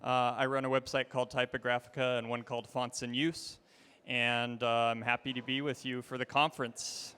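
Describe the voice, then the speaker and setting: A man hosting a conference, introducing the next speaker with relaxed pauses and fillers. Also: medium pitch, clean tone. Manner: relaxed, friendly, hesitant fillers. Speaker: conference host. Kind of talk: stage introduction. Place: conference stage. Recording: long hall reverb.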